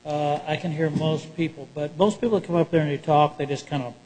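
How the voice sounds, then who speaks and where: A man's voice: a little high, steady tone, fairly quick, darkish tone, slightly rough. A man on a city council asking blunt, wry questions at a meeting. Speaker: council member. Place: council chamber. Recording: reverberant hall.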